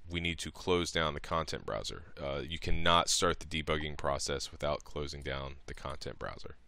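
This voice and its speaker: A man presenting a casual, step-by-step software tutorial in an informal, explanatory way. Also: extremely low pitch, moderate pace, vocal fry, noisy background.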